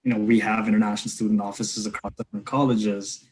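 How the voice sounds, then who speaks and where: A man in his twenties from India, a startup co-founder answering panel questions in an earnest, measured way. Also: lowish voice, flat delivery. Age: 20s.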